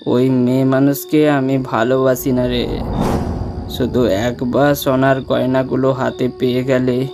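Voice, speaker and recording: A man's voice: medium pitch, flat delivery, unhurried, music in the background, natural voice. A man voicing a greedy, two-faced young village lover in a horror cartoon, sly and theatrical. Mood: scheming. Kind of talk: Bengali horror cartoon dialogue.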